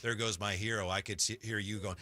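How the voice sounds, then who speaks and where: A man's voice: lowish voice, steady tone, fairly quick, bright, forceful tone. A man hosting a sports radio show, chatting casually as he interviews a guest.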